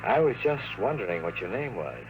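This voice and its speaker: An American actor playing a cocky, wisecracking private investigator in a 1950s radio drama, with dry, slangy tough talk. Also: male voice, fairly low pitch, very animated, fairly quick. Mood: flirtatious, wry. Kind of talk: 1950s radio drama acting, hardboiled narration. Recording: noisy vintage 1950s radio recording.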